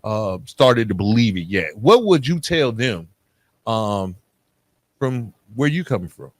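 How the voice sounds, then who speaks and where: A man's voice: lowish voice, very animated, a little slow, dry recording. An American man interviewing a guest casually as a podcast host, in a conversational way.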